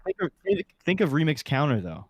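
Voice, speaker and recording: A man's voice: a little high, big pitch swings, brisk, lo-fi sound, a crowd in the background. A man talking casually and animatedly among friends on a podcast. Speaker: podcast panelist. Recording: clicks and faint background noise.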